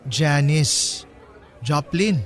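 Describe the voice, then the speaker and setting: A man's voice: a little high, very slow. A man playing a reserved, upright younger half-brother, questioning and reproachful, in a Filipino radio drama. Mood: unsure. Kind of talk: Tagalog radio drama dialogue, conversational. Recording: faint music behind the voice.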